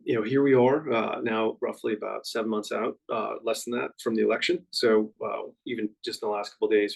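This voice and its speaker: A man with a low, gravelly, husky voice hosts a market update webinar. He talks in a halting, unscripted way, full of fillers and restarts. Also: low-quality recording. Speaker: financial advisor presenting a market update. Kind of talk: webinar presentation.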